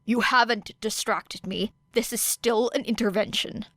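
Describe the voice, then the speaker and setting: Woman doing a slow, exasperated teen boy. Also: a little high, steady tone, a bit bright, no room sound. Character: stubborn, exasperated teenage boy hero student.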